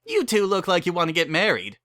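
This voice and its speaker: Casting: male performer as chirpy chapel mare, high and bright, pushy saleswoman, animated cartoon-pony delivery. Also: brisk, no echo, clean studio sound, exaggerated voice. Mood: gushing, pushy. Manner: eager, chirpy sales patter. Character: pushy, cheery mare who runs a wedding chapel. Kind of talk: dramatic reading of fan fiction.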